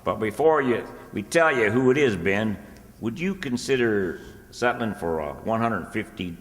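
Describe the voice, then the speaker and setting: A man's voice: fairly low pitch, animated, measured pace. A man playing a folksy small-town storekeeper in an old radio comedy, bargaining a little hesitantly. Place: stage in a hall before a live audience. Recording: echoey hall, long reverb.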